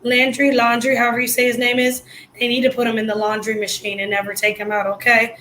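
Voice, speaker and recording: Woman, high-pitched, hoarse, casual livestream commentary, slangy, blunt, noisy background. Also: monotone, quick pace, bright, forceful tone. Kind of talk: casual live reaction commentary.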